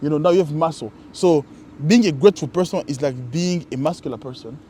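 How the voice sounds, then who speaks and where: A man co-hosting a casual conversation, reflective and halting, often restarting his sentences. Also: a little high, warm tone, a little hiss or hum. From Congo. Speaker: co-host.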